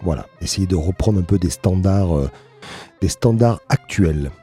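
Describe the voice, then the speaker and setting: A man, a guitarist, answering radio interview questions in a relaxed, hesitant way with many pauses. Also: low-pitched, husky, soft background music. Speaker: guitarist and guest.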